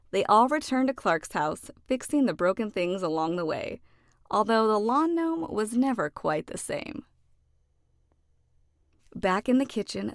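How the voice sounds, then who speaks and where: A woman narrating a children's storybook expressively, with deliberate phrasing and dramatic emphasis. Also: medium pitch, creaky, no room sound, clean studio sound. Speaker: children's book read-aloud narrator.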